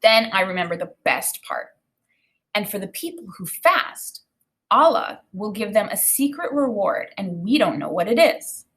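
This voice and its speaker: Expressive woman teacher reading aloud. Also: medium pitch, moderate pace. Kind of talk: read-aloud of a children's novel with think-aloud commentary. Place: small indoor room with bookshelf and whiteboard.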